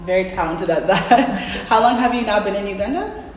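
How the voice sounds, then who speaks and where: A woman hosting a casual, upbeat vlog interview, quick to encourage and agree with her guest. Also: medium pitch, animated. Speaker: host and interviewer. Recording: echoey hall, distant mic, music.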